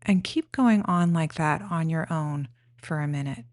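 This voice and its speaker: Slow, low-pitched woman guiding meditation. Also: dry recording, studio quality. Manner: gentle, unhurried, plain-spoken instructions with pauses. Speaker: meditation guide. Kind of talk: guided meditation instruction.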